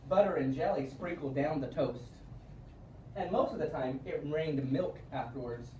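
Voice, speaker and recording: A man reading a children's storybook aloud in an expressive way with emphatic word stress, recorded at a distance in a room. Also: a little high, moderate pace. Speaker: storybook reader. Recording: roomy, distant mic with faint noise.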